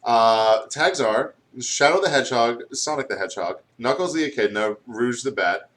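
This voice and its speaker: A man's voice: mid-range voice, expressive, normal speed, a bit bright. A man in casual, giggly chat, reading aloud over a video game. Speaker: guest co-host reading fan fiction.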